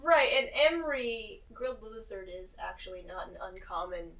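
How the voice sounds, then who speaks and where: A woman's voice: mid-range voice, lively intonation, moderate pace, fairly bright tone. A woman chatting casually as a player in a tabletop game. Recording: slightly distant from the mic.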